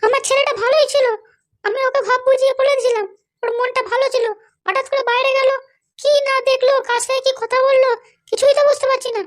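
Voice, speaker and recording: A woman's voice: very high-pitched, steady tone, fast. A woman voicing a doting but bossy mother in a cartoon, fretful, insistent and nagging. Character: doting, anxious, bossy mother of a grown son. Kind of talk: cartoon dialogue.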